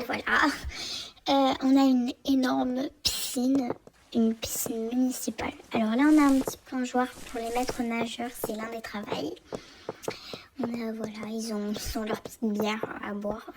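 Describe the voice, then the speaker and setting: A young French woman, hoarse and often half-whispering, giving a rambling, hesitant tour of a block-building game server. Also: on the high side, noisy background. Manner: rambling, hesitant, many fillers and restarts. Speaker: game channel host. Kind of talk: casual game tour commentary.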